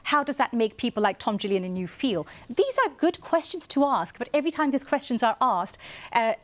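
A woman whose first language is Bengali argues on a panel, stopping and restarting as she hunts for the right words. Her voice sits a little high. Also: lively intonation. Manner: halting, searching for words. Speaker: panel guest. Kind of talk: political commentary.

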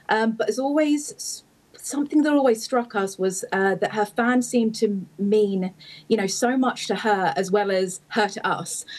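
A woman's voice: medium pitch, a little mellow, low-quality recording. A woman speaking earnestly as an interviewee, with some fillers. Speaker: interviewee fan. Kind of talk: recorded interview answer.

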